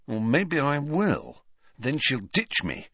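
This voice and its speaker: A man's voice: mid-range voice, wildly swooping pitch, takes it slowly, fairly bright tone, slightly rough, no echo, studio quality, pitched above the performer's own voice, heavily acted. A man voicing a glum, easygoing, lazy teenage boy with a casual, grumbling manner in an audiobook. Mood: glum, wry.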